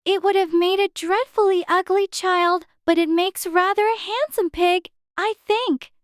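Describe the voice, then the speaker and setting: A prim, earnest little girl from a storybook. The voice is extremely high and childlike, with polite, inquisitive phrasing. It sounds dry, with no room echo. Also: fairly even intonation, measured pace. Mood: musing, concerned. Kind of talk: dramatized storybook reading.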